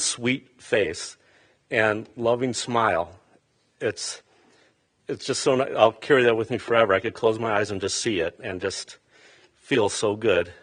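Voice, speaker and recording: A man giving a halting funeral eulogy for his mother in a funeral chapel, with many fillers and restarts. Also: on the low side, a bit bright, breathy edge.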